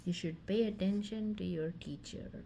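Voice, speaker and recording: A woman's voice: medium pitch, normal speed, vocal fry, warm tone, a little hiss or hum. A woman coaching a child's reading with brief, casual prompts and encouragement. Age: adult.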